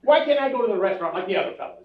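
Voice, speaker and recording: A man's voice: high voice, expressive, very fast, recorded from a distance. An actor playing a henpecked, wisecracking husband in his forties, sardonic and exasperated, in old-time radio comedy style. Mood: complaining. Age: middle-aged. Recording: dull, echoey old radio recording, off-mic.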